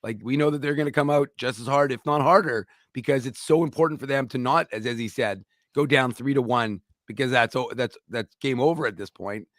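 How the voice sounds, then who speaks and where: A man's voice: mid-range voice, a bit bright, dry recording, clean studio sound. A man hosting a sports talk show, chatting emphatically about hockey. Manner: emphatic, quick to agree. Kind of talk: casual sports chat.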